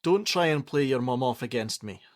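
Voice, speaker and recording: A man's voice: mid-range voice, expressive, moderate pace, no room sound, natural voice. A male actor with a Scottish accent playing a blunt, prickly middle-aged father who works at a helpline, in a radio play. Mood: angry, unyielding. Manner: blunt, argumentative, sarcastic.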